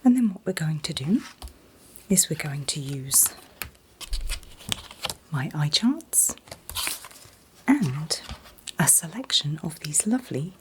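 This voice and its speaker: A woman in a gentle, soothing ASMR roleplay as an optician, whispering breathily and reassuringly without hurry. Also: low-pitched, sing-song, slow pace, pauses often, slight background noise. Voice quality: breathy, mostly unvoiced whisper. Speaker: ASMR performer playing an optician.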